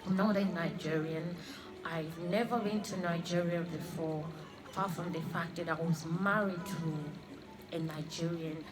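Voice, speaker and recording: A woman in her thirties talks through a personal faith testimony in a fairly low voice. She speaks earnestly, pauses and repeats her phrases as she goes. Music plays clearly beneath her. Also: fairly even intonation. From Congo, raised in Australia. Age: 30s. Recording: music playing under the voice. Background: clear background music.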